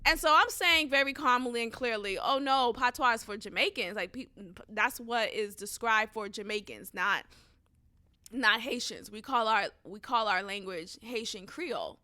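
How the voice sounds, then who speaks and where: Bright-voiced emphatic young woman. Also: fairly high pitch, moderate pace, no room sound, studio quality. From United States. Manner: animated, emphatic, profane. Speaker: podcast host. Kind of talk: casual storytelling.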